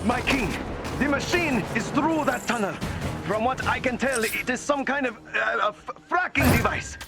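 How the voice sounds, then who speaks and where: Urgent African warrior, very high-pitched. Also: male voice, normal speed, over loud music. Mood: breathless report. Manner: urgent, exclaiming. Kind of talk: dramatic video game battle acting. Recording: loud game score and machinery behind.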